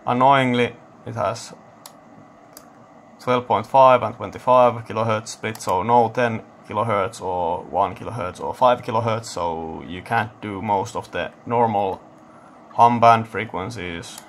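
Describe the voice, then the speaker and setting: A man giving a casual technical demonstration, thinking aloud in a halting, matter-of-fact way. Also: lowish voice, a little hiss or hum. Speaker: electronics hobbyist presenter. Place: indoor electronics workbench.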